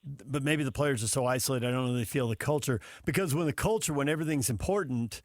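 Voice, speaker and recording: A man with a mid-range voice, recorded dry. He hosts a sports radio interview, building his questions loosely as he thinks out loud. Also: mid-range voice, quick pace, no echo. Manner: rambling, thinking aloud.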